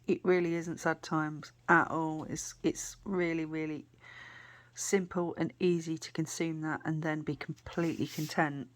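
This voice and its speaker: A British woman vlogging casually to camera, chatty and rambling, her voice a bit croaky. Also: deep voice, level tone, unhurried. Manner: chatty, rambling with many fillers and restarts.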